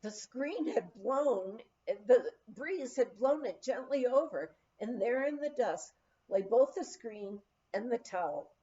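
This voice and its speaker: Animated, high-voiced woman reading a memoir. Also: takes it slowly, highs cut off. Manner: animated storytelling with acted dialogue. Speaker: audiobook reader. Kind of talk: expressive reading of a humorous memoir.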